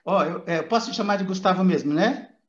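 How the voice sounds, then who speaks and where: A man's voice: sits high, brisk. A man with a Brazilian accent hosting a casual, chatty interview. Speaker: interviewer, channel host. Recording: roomy, dull lo-fi audio.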